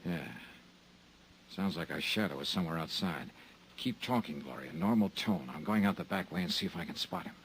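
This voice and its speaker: A man's voice: deep voice, expressive, brisk, a bit bright, slight background noise, low-quality recording. An actor playing a terse, hard-boiled insurance investigator who narrates his own case in a 1950s radio drama.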